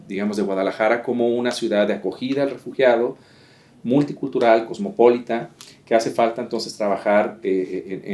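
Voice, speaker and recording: A man's voice: lowish voice, darkish tone. A man interviewing a guest, summarizing in formal academic phrasing with many hesitations and fillers.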